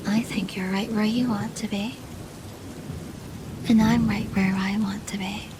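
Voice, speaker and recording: A woman playing a doting, possessive yandere girlfriend in an intimate ASMR roleplay, teasing softly and often dropping to a whisper. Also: medium pitch, steady tone, a little slow, background noise, natural voice. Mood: teasing, menacing. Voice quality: soft, breathy with whispered phrases.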